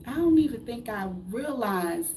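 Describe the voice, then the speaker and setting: A woman's voice: fairly high pitch, big pitch swings, unhurried, background noise. A woman in her late thirties, from the United States, giving a blunt, preachy motivational talk to camera, full of rhetorical questions and casual swearing. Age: 30s. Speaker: vlogger.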